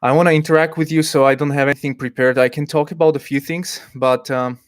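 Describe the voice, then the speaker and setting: Guy doing his first livestream, chatty and a bit hesitant, reading the chat out loud, dry close mic. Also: fairly high pitch, steady tone, fast, no echo. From Slovakia. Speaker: livestream host.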